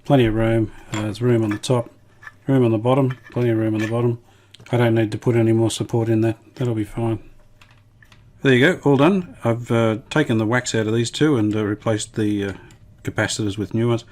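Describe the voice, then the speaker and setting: A man casually talking through a hands-on repair demonstration, unhurried and matter-of-fact, thinking aloud as he goes. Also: mid-range voice, fairly even intonation, darkish tone. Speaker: hobbyist radio restorer presenting his video.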